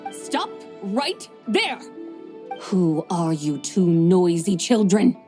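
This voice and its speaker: A drowsy formal mystic. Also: female voice, on the low side, sing-song, very slow, over music, exaggerated voice. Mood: stately. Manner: formal, old-fashioned. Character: drowsy, formal mystic woman with grand old-fashioned manners. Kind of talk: game cutscene voice acting.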